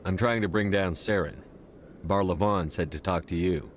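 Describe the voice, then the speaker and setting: An actor playing a resolute human military commander in a video game, with a terse, direct way of talking. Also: male voice, sits low, normal speed, slight background noise, natural voice. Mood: businesslike.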